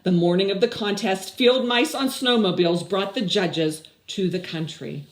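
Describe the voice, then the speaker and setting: A woman's voice: medium pitch, normal speed. An older woman hosting story time for children, reading and chatting expressively with questions for young listeners. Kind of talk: picture-book read-aloud and chatting to children. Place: small indoor room with blinds.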